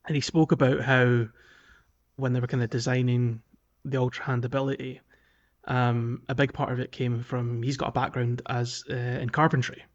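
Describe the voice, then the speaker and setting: Male podcast co-host, mid-range voice, conversational and a little halting, thinking aloud as he talks. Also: mid-range voice, level tone, muffled recording. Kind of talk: casual video game podcast chat.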